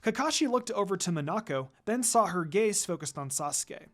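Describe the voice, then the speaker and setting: A woman reads fan fiction as a storyteller. Her intonation is animated and moves widely around a mid-range pitch. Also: normal speed, faint music behind. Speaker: narrator. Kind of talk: scripted narration of fan fiction.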